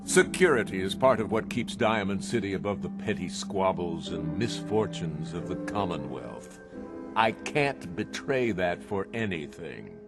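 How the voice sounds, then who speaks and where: Casting: pompous middle-aged mayor for a game, lowish voice, very animated delivery, music underneath. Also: male voice, unhurried. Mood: condescending, regretful. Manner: pompous, indignant, speechifying. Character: pompous middle-aged male city mayor, defensive politician. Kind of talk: video game voice acting.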